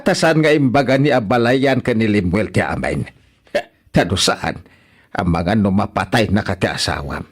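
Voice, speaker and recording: A man with an Ilocano accent playing a stern, indignant elderly father who scolds and repeats himself in a radio drama. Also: medium pitch. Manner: scolding, emphatic, repeats words.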